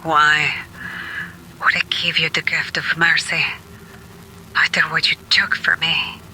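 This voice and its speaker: A woman's voice: low voice, flat delivery, bright, forceful tone, slight background noise, exaggerated voice, poor audio. Woman playing an intense, haunted young adventurer. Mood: anguished, bitter. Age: young adult. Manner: anguished, halting, breathy at times. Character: haunted, grieving young adventurer facing a dead parent. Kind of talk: audio drama acting.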